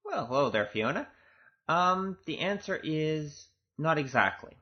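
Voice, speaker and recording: A man's voice: on the high side, sing-song, a little slow. A man speaking as an audio drama producer, giving an informal, earnest and conversational director's commentary. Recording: dull, lo-fi recording.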